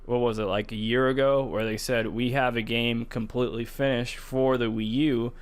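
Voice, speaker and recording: A man with a smooth, clear voice at an average pitch hosts a gaming podcast. He talks casually and conversationally, feeling out his sentences and often repeating a phrase before moving on. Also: steady tone, normal speed. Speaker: podcast host. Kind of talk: casual gaming news discussion.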